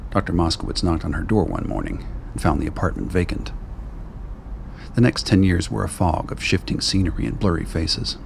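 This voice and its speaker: A man narrating a radio play in a measured, grave way. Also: sits low, vocal fry, darkish tone, slight background noise.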